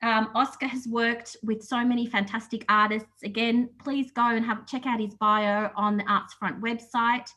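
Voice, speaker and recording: A woman moderating a panel over a video call. Her voice sits a little high and sounds bright. She introduces people in a friendly, slightly hesitant way. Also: flat delivery, moderate pace, hardly any pauses. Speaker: panel host.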